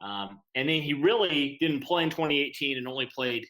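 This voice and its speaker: A man's voice: medium pitch, animated, normal speed, sharp tone, low-quality recording. A man co-hosting a casual sports podcast, breaking down players in an earnest, hesitant way with many ums and restarts. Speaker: podcast co-host.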